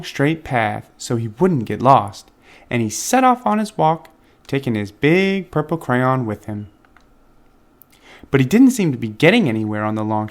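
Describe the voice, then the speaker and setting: Animated man reading a children's storybook. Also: mid-range voice. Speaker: children's book reader and channel host. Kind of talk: expressive storybook read-aloud.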